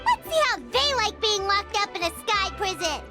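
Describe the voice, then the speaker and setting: A woman voicing a small, excitable, childlike fairy companion in a video game. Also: extremely high pitch, moderate pace, over music. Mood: chirpy. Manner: excitable, speaks of self in third person.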